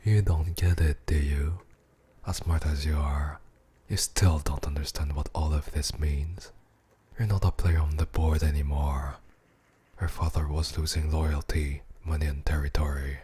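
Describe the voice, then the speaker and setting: A man acts out a menacing mafia boss in an ASMR roleplay, with an extremely low, husky and breathy voice. He murmurs in a narrow, controlled range, possessive and seductive, talking down to his captive with intimate condescension. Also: warm tone, heavily acted.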